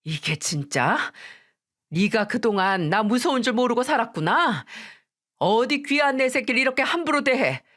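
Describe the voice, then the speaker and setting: A woman's voice: on the high side, sing-song, normal speed, voice pushed up. A woman voicing an angry older mother who threatens and scolds her son-in-law in a raised, menacing voice. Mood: furious. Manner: shouting, menacing.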